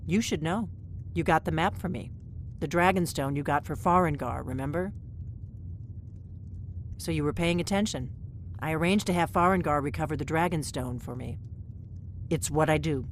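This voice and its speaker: Secretive innkeeper spy. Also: female voice, low-pitched, normal speed, pauses often, a little hiss or hum. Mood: urgent. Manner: cautious, urgent, conspiratorial.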